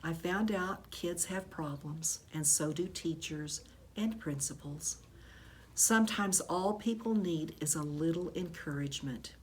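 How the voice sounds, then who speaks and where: Female storybook reader, teacherly and expressive, unhurried, slightly low pitch, noticeable background noise. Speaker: teacher reading a children's book aloud.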